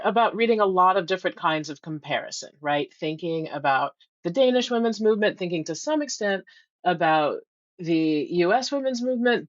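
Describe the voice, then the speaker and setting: Low-voiced woman professor, rambling. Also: dry recording, dull audio. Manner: thoughtful, rambling, many ums. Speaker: history professor, interviewer. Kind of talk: conversational interview.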